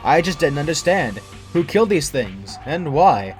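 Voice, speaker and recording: A man's voice: fairly high pitch, very animated, normal speed. A man reading a scripted first-person horror story as its narrator. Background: background music.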